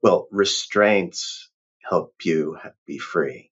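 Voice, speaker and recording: A man's voice: sits low, takes it slowly, fairly bright tone, breathy edge. A man, a professor, giving reflective, emphatic interview answers with frequent restarts. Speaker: professor, guest. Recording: dull lo-fi sound.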